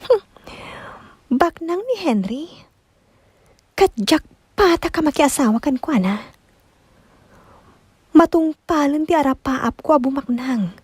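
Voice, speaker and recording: A woman's voice: sits high, lively intonation, takes it slowly, long pauses, dry recording. A woman with an Ilocano accent acting a hesitant, calculating young woman in a radio drama. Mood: musing, scheming.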